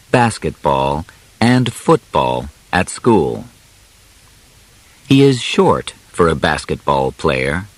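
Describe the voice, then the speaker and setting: A man narrating an audiobook biography from a script, phrasing deliberately in short, separated chunks. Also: sits low, no echo, rough recording.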